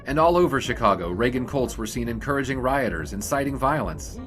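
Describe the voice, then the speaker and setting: An actor playing a brash, wordy, wisecracking 1920s sportswriter in an old-time radio drama. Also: male voice, medium pitch, animated, fairly quick, fairly bright tone, soft background music, lightly acted. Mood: challenging.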